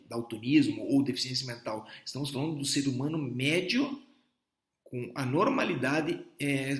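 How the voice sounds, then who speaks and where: A middle-aged Brazilian man giving a philosophy lecture to doctors, explaining step by step with frequent restarts and rhetorical checks. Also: fairly high pitch. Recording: echoey room.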